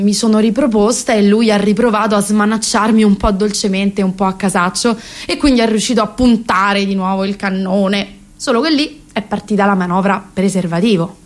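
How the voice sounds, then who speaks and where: A young actress performing a comic radio monologue as a self-mocking, exasperated woman near thirty, conversational, ironic and halting. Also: mid-range voice, fairly even intonation, close to an everyday voice. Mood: wry confessional. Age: young adult.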